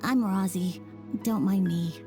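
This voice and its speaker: A woman's voice: mid-range voice, takes it slowly, close to an everyday voice. A woman voicing a shy, polite little child in a video game, hesitant and small-voiced, with music behind. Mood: timid, upset. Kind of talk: animated video game voice acting.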